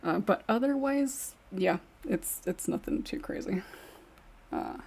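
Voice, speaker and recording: A woman's voice: mid-range voice, animated, a little slow, gravelly, darkish tone, breathy edge. A woman hosting a podcast in casual panel chat, reading out questions and giving her own answers. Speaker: podcast host and script writer.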